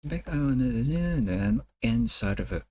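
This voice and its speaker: A man casually chatting on a live stream, hesitantly giving feedback on art, often pausing and repeating words as he thinks. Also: mid-range voice, expressive, darkish tone, slight background noise.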